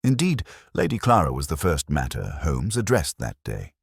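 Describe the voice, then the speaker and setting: A man narrating a detective story in a measured, literary manner. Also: rumbling low, wildly swooping pitch, breathy edge, no room sound, clean studio sound. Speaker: audiobook narrator.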